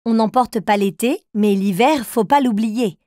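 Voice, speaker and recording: A woman's voice: fairly high pitch, a little slow, dark tone, dry recording, clean studio sound, lightly acted. A woman playing a patient, encouraging mother figure who tells riddles to young children in a cartoon. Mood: playful, teaching.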